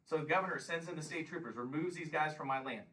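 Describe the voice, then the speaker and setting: A man's voice: on the high side, quick pace, a bit bright, recorded from a distance, poor audio. A man in his fifties giving a combative campaign speech and taking questions at a local meeting, often pressing "Okay?". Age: 50s. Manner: combative, emphatic, repeats "Okay?". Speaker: congressional candidate.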